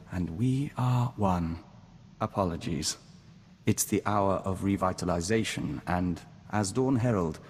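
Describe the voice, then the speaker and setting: A serene game diplomat bonded to an insect hive, his pitch deep. He speaks formally and carefully, as a collective "we." The recording has long reverb and some background noise. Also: a little mellow. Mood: serene, reverent. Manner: formal, measured, speaks of himself as we. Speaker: game companion character. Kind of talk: scripted game dialogue acting.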